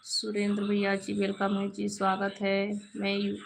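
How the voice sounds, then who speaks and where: Hoarse woman greeting live viewers. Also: mid-range voice, monotone, a little slow, slight background noise. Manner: reads out viewer names and greets them warmly. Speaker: live stream host. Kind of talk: casual live chat reading comments.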